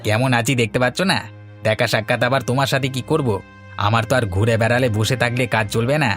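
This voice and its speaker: A man's voice: mid-range voice, fairly even intonation, fast, a bit bright, natural voice. A man playing a rude, hot-headed village bully in a cartoon, with a curt, scornful, aggressive delivery. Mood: irritated, scornful. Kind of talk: Bengali cartoon story voice acting. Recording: music behind the voice.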